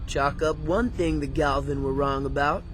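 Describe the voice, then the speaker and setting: A man's voice: fairly high pitch, fairly even intonation, normal speed, music in the background, natural voice. A man playing a blunt, sceptical teenage tough guy in a cartoon video game, dry and needling.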